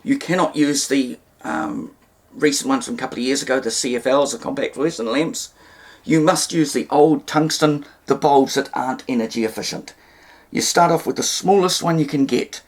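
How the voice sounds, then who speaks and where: A man's voice: mid-range voice, normal speed. A man giving an informal, hands-on demonstration, explaining things step by step in a somewhat hesitant way. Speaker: hobbyist presenter demonstrating radio repair.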